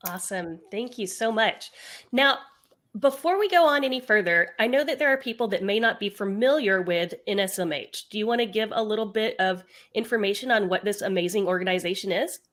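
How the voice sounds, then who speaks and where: A woman hosts a podcast conversation. She speaks with upbeat enthusiasm as she poses questions. Her voice has a middle pitch. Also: lively intonation, fairly quick, a bit bright. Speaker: podcast host.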